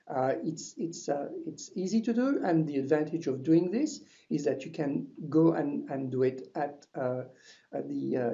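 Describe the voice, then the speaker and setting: French-accented man, soft dark tone. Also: on the high side, vocal fry, lo-fi sound. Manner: hesitant, many restarts and fillers. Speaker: university French lecturer. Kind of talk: webinar presentation.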